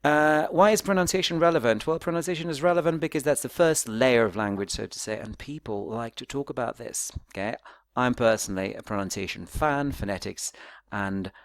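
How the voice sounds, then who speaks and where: A man's voice: medium pitch, brisk, no echo. A man presenting an academic talk in a conversational, explanatory way, checking in with little tags and questions. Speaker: academic presenter, phonetics researcher.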